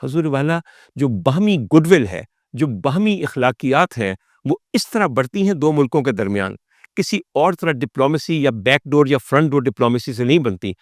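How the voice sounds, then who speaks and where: A man with a Pakistani accent delivers a storytelling monologue as a vlog host. His voice sits at a medium pitch, and his manner is theatrical and formal, addressing the audience rhetorically and dropping in English phrases. The sound is dry, with very little room in it. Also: very animated, normal speed, no room sound. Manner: mixes English phrases into speech. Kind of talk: storytelling monologue on film history.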